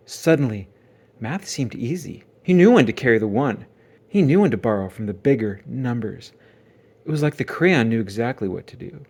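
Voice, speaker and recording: A man reads a children's storybook aloud in a medium-pitched voice. His intonation is very animated, rising and dropping widely. He uses theatrical emphasis and suspenseful pauses throughout. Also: gravelly, slightly rough. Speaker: children's book read-aloud narrator. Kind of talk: dramatic scripted storytelling.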